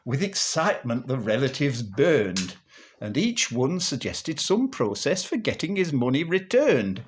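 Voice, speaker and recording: A man reciting a comic verse monologue in a theatrical, measured storytelling manner. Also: mid-range voice. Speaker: monologue performer.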